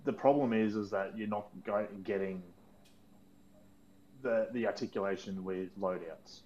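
A man's voice: fairly low pitch, unhurried, mellow, muffled recording. A man co-hosting a casual gaming podcast, teasing his friends and explaining at length. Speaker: podcast co-host.

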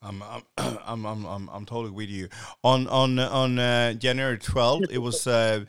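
Smooth-voiced man from Haiti, stammering. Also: medium pitch, steady tone, normal speed, a bit bright. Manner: stammering restarts, grows heated when telling stories. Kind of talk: casual chat.

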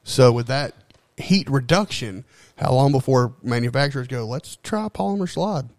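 A man's voice: medium pitch, animated, normal speed, no echo, studio quality. A man chatting informally, peppering his talk with "like" and swear words. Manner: profane, informal, heavy use of "like". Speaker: podcast co-host. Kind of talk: casual chat.

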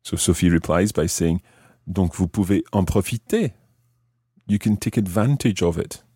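A man hosting a language lesson, explaining in a deliberate way and repeating phrases word by word. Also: deep voice, mellow, husky, no room sound, studio quality. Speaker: language teacher and podcast host.

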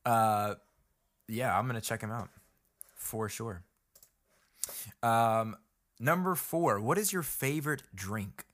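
A man hosting an interview, asking quick icebreaker questions in a chatty, upbeat way. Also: medium pitch, fairly bright tone. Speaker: podcast host. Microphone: video call.

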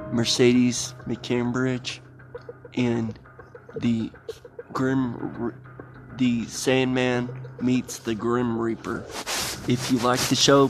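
A man hosting a podcast, reading a scripted introduction in deliberate, halting phrases. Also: medium pitch. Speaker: podcast host. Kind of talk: scripted introduction of old radio plays. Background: music.

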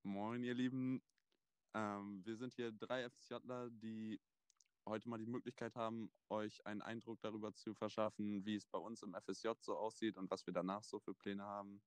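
A man of twenty hosting a casual chat, relaxed and thoughtful with plenty of fillers. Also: on the low side, moderate pace, smooth, dry recording. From Germany. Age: 20s. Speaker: podcast host.

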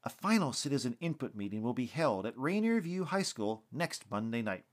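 A man's voice: medium pitch, very animated, moderate pace, natural voice. A man voicing a female TV field reporter who reports in a serious, concerned and emphatic way. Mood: earnest, concerned. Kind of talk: TV news report.

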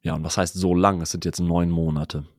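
Male podcast co-host with a head cold, lowish voice, close dry mic, loose reflective chat. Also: level tone, brisk, dry recording. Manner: reflective, talks in loose run-on sentences. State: has a cold.

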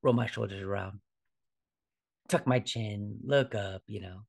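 A man's voice: lowish voice, a little slow, vocal fry. A man in his forties talking casually as a podcast host, informal and conversational, often checking in with a "right?". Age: 40s. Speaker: podcast host, fitness trainer. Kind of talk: casual solo podcast talk.

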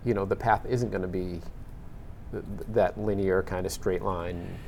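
A man's voice: deep voice, steady tone, moderate pace, creaky, a little mellow, slight background noise. A man hosting a panel discussion, asking long searching questions in a conversational way. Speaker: podcast host.

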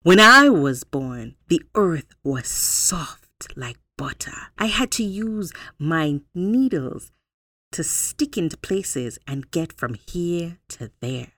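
A woman voicing an assertive, boastful male porcupine in a folktale, deliberate and emphatic. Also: sits low, very animated, a bit bright, no room sound, heavily acted.

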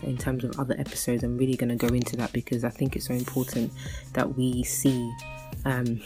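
A woman's voice: extremely low pitch, a little mellow. A woman in her thirties hosting a solo podcast, chatting casually and rambling with many fillers and restarts. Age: 30s. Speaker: podcast host.

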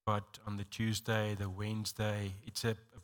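A South African man leading a church service, talking with halting, stammering restarts. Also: fairly low pitch, monotone. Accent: South African English. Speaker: pastor. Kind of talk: sermon-like church talk.

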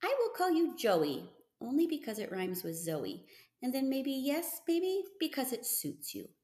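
A woman voicing an eager, gentle thirteen-year-old farm boy in a storybook reading, earnest and excitable. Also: high voice, measured pace, echoey room, pitched above the performer's own voice, exaggerated voice. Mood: tender, reassuring.

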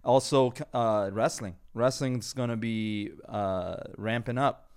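A man's voice: medium pitch, measured pace, clear, resonant tone. A man hosting a podcast, chatting loosely and rambling with frequent laughs. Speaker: podcast host. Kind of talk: casual podcast chat.

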